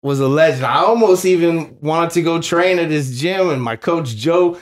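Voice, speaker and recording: A man hosts a casual podcast interview in an eager, animated way. He stumbles and restarts often, filling his sentences with you know and like. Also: fairly high pitch, normal speed. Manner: enthusiastic, stumbling, lots of fillers. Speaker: podcast host.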